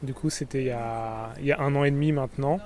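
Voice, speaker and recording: A man in his early thirties from France telling how he met his partner in a relaxed, conversational way, outdoors in a city. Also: mid-range voice, quick pace. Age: 30s. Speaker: interviewee.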